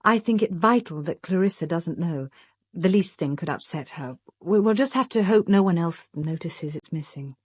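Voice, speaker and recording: An actress playing a practical, watchful British secretary companion in an audio drama, polite and careful. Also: female voice, lowish voice, animated, normal speed, a little mellow, husky, no echo. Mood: concerned.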